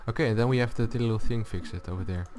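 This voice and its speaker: A man with a low voice giving a casual, unscripted gaming tutorial, thinking aloud as he goes. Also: fast talker, a little hiss or hum. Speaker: video tutorial host. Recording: music behind the voice. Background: loud music.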